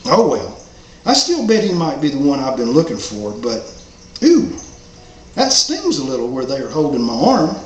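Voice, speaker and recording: Echoey room, faint noise, man, folksy storytelling, hopeful homeless dog character, animated intonation. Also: mid-range voice. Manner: folksy, drawling rural phrasing. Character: hopeful, humble homeless male dog narrating his life. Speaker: author reading own story. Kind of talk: first-person character storytelling. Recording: roomy echo, faint background noise.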